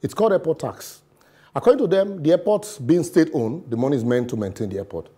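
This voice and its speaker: A middle-aged man with a Nigerian accent reads his opinion piece to a studio camera. His voice is mellow and a little husky, and he leans on words with sarcasm and pidgin asides. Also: a little high, expressive, fast talker. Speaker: commentator. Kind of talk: scripted opinion commentary. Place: television studio.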